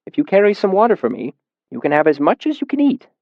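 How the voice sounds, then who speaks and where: Brief: male narrator doing a kindly, tired old man, gentle repetitions, bouncy high delivery, dry booth. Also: sing-song, quick pace, gravelly, dry recording, phone-quality sound, exaggerated voice. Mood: gentle, soothing. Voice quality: old and tired around the edges, patient at center. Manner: gentle, soothing, repeats words. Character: patient, tired old barefoot man caring for sick children. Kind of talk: audiobook character voice.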